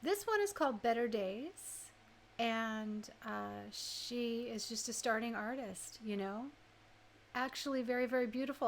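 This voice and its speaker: Female online radio host, high voice, chatty and effusive, informal livestream delivery between songs. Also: slight background noise. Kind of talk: casual livestream music show chat.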